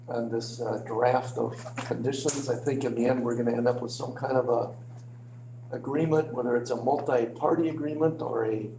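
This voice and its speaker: A man speaks as a local official giving an informal briefing in an echoey meeting room. His mid-pitched voice is a little crackly and he feels his way through sentences haltingly. A clear hum sits behind him. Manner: hesitant, halting, hedging. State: slightly crackly voice. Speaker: village official presenting to a board. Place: indoor village board meeting room.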